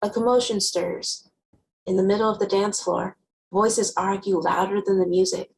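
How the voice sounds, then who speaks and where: A woman playing a wry, guarded, sharp-tongued teenage girl who narrates her own story conversationally in a dramatic novel reading. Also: medium pitch, steady tone, moderate pace, slight background noise, natural voice, low-quality recording. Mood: tense. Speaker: actor.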